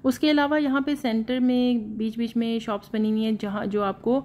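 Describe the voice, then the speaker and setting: A woman's voice: a little high, steady tone, normal speed, darkish tone, slight background noise. Chatty woman vlogger with fillers. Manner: chatty, with frequent hesitation fillers and English phrases mixed in. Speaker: shopping vlogger. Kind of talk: casual shopping narration.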